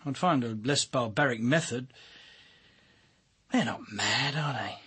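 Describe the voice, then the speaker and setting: Husky, sardonic police detective. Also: male voice, medium pitch, a little slow, sharp tone, heavily acted. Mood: sardonic, musing. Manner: dry, wry, commanding. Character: sardonic, driven senior police detective, blunt and world-weary. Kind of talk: radio drama acting.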